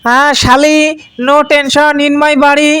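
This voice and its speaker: Man acting a goofy cartoon brother-in-law, Bangladeshi accent, drawn-out broken English, high voice, dry sound. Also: level tone, pushed and bright, dry recording, heavily acted. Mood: boastful.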